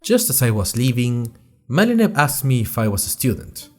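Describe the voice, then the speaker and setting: Young male student journalist played by a male actor, animated and wry, chatty podcast storytelling with music underneath. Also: mid-range voice, moderate pace. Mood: chatty, curious. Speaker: fictional podcast host and narrator. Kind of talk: scripted first-person audio drama narration in chatty podcast form.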